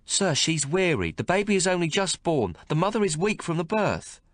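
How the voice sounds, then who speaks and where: A man's voice: a little high, very animated, normal speed, dry recording, voice pushed up. A man voicing a stern, no-nonsense midwife who stands her ground. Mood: firm. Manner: firm, insistent.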